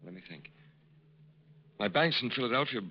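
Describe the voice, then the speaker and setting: An actor in an old radio drama playing a smooth con artist posing as a lovesick army major, halting and pleading. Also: male voice, mid-range voice, lively intonation. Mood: bashful, scheming. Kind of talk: mid-century American radio drama acting. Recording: muffled, noisy old radio recording.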